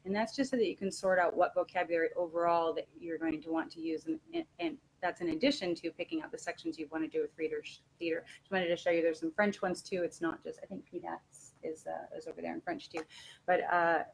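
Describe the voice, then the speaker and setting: A woman giving an informal instructional webinar talk as a teacher trainer, halting and correcting herself as she explains. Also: on the low side, vocal fry, a little hiss or hum, low-quality recording.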